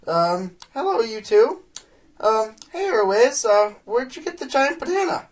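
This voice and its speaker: A man playing an excitable, scheming inventor pony in an audio drama, geeky, hesitant and boastful. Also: very high voice, measured pace, exaggerated voice. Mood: awkward.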